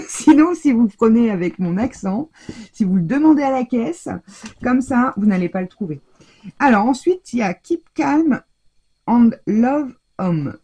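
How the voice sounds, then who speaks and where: A woman's voice: on the high side, animated, measured pace, mellow, slightly rough. A woman from France chatting casually and rambling with many fillers as she shows her craft purchases in a haul video. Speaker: craft vlogger.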